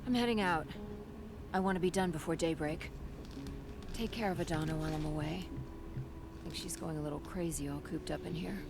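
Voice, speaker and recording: An actress playing a confident, reassuring wife and activist in a video game, with an assured, businesslike delivery. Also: female voice, sits low, a little fast, pauses often, over loud music. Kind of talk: video game cutscene acting.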